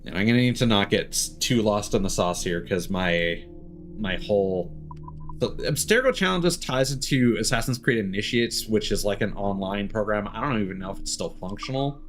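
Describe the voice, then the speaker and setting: Low-voiced man casually streaming a game. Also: lively intonation, normal speed, a bit bright, faint music behind. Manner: rambling, thinking aloud. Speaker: live streamer playing a video game. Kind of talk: casual gaming livestream commentary.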